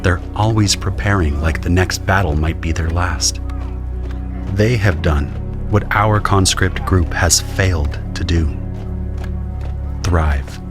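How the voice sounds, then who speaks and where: A man's voice: low-pitched, slow pace, long pauses, over music. A man delivering scripted first-person narration for a dramatic audio story.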